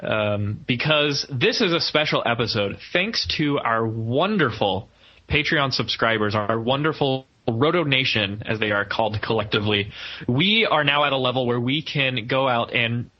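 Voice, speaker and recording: A man's voice: medium pitch, moderate pace, muffled recording. A man hosting a podcast in an upbeat, jokey, enthusiastic way, mixing banter with review talk. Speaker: podcast host.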